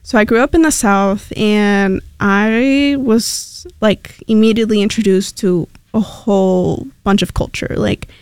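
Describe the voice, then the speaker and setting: A young woman from Mexico, a native Spanish speaker, talks easily in a radio studio as the station's intern. Her voice comes through smooth and clear. The sound is close and dry, and her casual, rambling manner is full of little fillers. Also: medium pitch, fairly even intonation, a little slow, hardly any pauses, no echo. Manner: chatty and rambling, many fillers.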